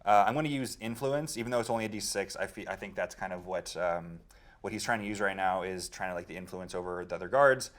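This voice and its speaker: A man playing a tabletop roleplaying game, chatting casually and thinking out loud as he decides. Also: low voice, quick pace.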